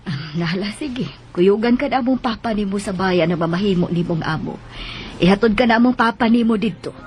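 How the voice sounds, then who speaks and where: An actress playing a concerned mother in a Filipino radio drama, with a husky voice and Cebuano accent. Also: mid-range voice, a little fast, noisy background, close to an everyday voice. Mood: resigned agreement. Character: concerned mother of a working student.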